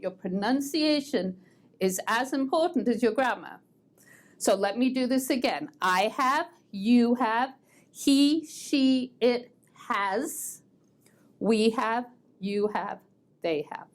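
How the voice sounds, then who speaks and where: An American woman teaching English online, taking her time and repeating each form for practice. Her voice sits a little high and she speaks slowly and clearly, as if pacing herself for learners. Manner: slow, patient, repeats words for drilling. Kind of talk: online grammar lesson. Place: language school in Boston.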